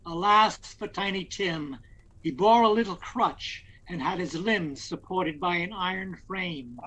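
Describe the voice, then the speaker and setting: A man's voice: sits high, unhurried, pushed and bright, full character voice, poor audio. A man narrating a Victorian Christmas story in an audio play, in a scripted dramatic style. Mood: descriptive.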